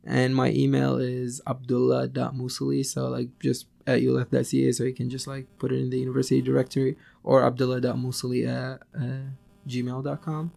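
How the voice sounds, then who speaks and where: A man's voice: medium pitch, completely flat delivery, brisk, creaky, few pauses, dark tone. A young man from Syria chatting casually as an interview guest, in a hesitant, modest way. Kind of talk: casual chat.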